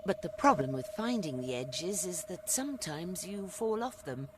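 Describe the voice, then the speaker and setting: A woman narrating a video game story in a wry, deliberate way, over noisy game ambience. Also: lowish voice, big pitch swings. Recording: clear background noise from game ambience.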